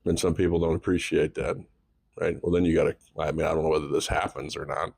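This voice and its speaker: A man's voice: very deep voice, flat delivery, fast talker, vocal fry, darkish tone, slightly rough, no room sound. A man of thirty-five co-hosting a podcast, rambling in casual chat, fumbling his words and laughing at himself. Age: 30s. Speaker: podcast co-host.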